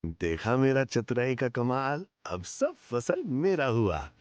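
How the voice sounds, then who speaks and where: A man's voice: medium pitch, sing-song, slow pace, no room sound, dull audio. A man voicing a greedy, cunning village moneylender in a cartoon story, with a smug and sly way of talking. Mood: gloating. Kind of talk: Hindi cartoon story voice acting.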